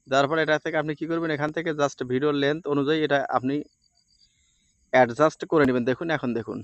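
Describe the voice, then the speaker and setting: A man's voice: fairly high pitch, steady tone, quick pace, no echo, highs cut off. A man presenting an informal step-by-step editing tutorial, with repetitive instructional phrasing.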